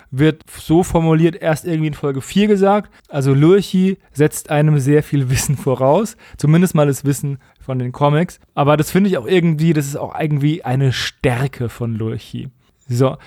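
Male podcast co-host, slightly higher-pitched, dry close recording, casual wry review chat. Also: dark tone, no echo.

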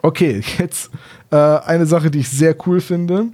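German guy in his 30s, bit high voiced, chatty podcast host, kinda teasing and into it. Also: steady tone, unhurried, mellow. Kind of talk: casual podcast chat.

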